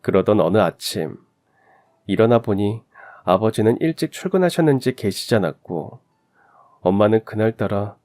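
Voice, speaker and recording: A man's voice: on the low side, expressive, moderate pace, darkish tone, faint music behind. A man reading a scripted first-person story in the style of a radio drama. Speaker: narrator of a story channel.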